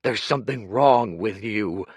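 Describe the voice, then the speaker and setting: A man voicing a huge, hot-tempered young man who shouts and snarls, his voice cracking with grief. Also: fairly low pitch, measured pace, breathy edge, dry recording, studio quality, pitched above the performer's own voice. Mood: raw, menacing.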